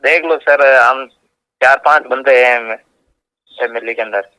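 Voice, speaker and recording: A man's voice: medium pitch, measured pace, pushed and bright. A man speaking deferentially and hesitantly as a caller on a phone conversation. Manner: deferential, hesitant, often says sir. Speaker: caller, job aspirant. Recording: dull lo-fi phone call recording.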